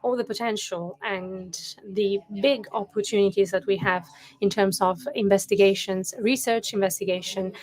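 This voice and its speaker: Lady moderating an online talk, lots of uh's, middle pitch. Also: steady tone, normal speed, low-quality recording. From Italy. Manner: hesitant, many fillers. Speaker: conference moderator.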